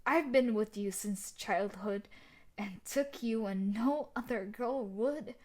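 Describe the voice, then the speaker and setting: A woman's voice: on the high side, slow pace, darkish tone. A woman playing a tough, sadistic, possessive bully fiancée in a dramatic audio roleplay, scolding and emotionally intense. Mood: angry, possessive.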